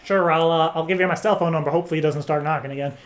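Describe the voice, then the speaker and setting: A man making a prank phone call, rambling in an earnest act with hesitant, halting phrasing. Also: on the high side, fairly even intonation, noisy background. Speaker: prank caller. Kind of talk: improvised phone prank chat.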